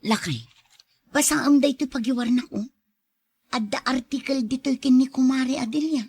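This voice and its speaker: A brusque older Filipino auntie, played by a woman in a radio drama. Her voice is husky and fairly high, the sound of a babysitter who would rather be left to her shows. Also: moderate pace, darkish tone, no echo. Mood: brusque, offhand.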